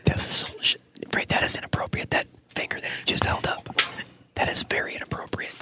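A middle-aged man whispering in casual podcast banter, with a hushed, breathy delivery. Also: a little fast. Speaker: podcast host.

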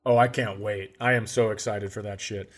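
A man's voice: fairly low pitch, fairly even intonation, moderate pace. A man co-hosting a comedy podcast, telling casual anecdotes with wry humor, swearing and quoted dialogue.

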